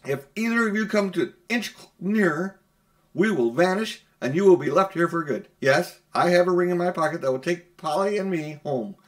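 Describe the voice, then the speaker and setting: Man as storybook boy, defiant, urgent warnings, lifted pitch, firm. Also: normal speed, natural voice.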